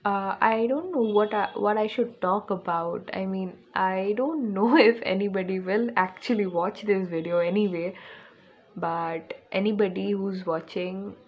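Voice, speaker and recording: A woman's voice: mid-range voice, a little slow, dull audio. Chatty teenage girl with an Indian accent. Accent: Indian English. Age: teens. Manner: rambling, chatty, with many fillers and self-corrections. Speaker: vlogger. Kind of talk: casual first vlog chat to camera. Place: small room at home, indoors, books behind. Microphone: phone camera held close.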